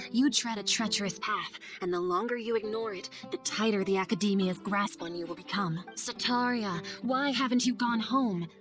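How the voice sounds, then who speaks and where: An actress playing an earnest, idealistic young scholar in a video game cutscene, speaking gently and articulately. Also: female voice, mid-range voice, close to an everyday voice. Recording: music under the voice.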